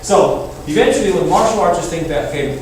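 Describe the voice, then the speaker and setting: A man's voice: sits high, animated, fairly quick. A man from Taiwan teaching a martial arts class, explaining loosely and often checking in with "okay?" and "right?". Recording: echoey hall, distant mic, background noise.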